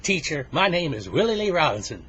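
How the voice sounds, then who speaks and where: A man's voice: on the high side, sing-song, a little fast, a bit bright, breathy edge, pitched above the performer's own voice, full character voice. A man voicing an eager star-pupil schoolboy, reciting in a rhythmic sing-song chant. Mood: eager, proud. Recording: dull, noisy lo-fi recording.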